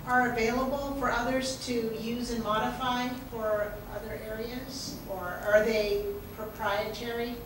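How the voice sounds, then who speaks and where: A woman's voice: a little high, level tone, a little slow, few pauses. A woman in the audience asking a question in a friendly, enthusiastic way. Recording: echoey hall, distant, noisy.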